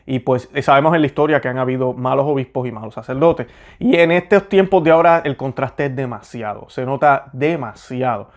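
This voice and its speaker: A young man hosts a faith program, earnest and conversational, often pausing on fillers as he works through his thoughts. His voice sits at an ordinary middle pitch. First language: Spanish. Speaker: host. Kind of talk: religious commentary and prayer.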